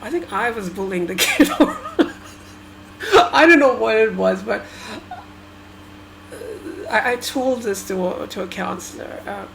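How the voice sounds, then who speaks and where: A woman from Sri Lanka whose first language is Tamil, talking through her thoughts in a halting, hesitant way with many restarts. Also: mid-range voice, animated, gravelly, fairly bright tone, slight background noise, rough recording. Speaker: vlogger. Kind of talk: personal reflective monologue.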